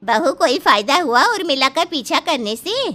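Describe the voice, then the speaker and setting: A woman's voice: high voice, very animated, measured pace, pushed and bright, exaggerated voice. A woman playing a nosy, suspicious middle-aged mother-in-law in a cartoon family story, with theatrical questioning. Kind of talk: animated family story dialogue.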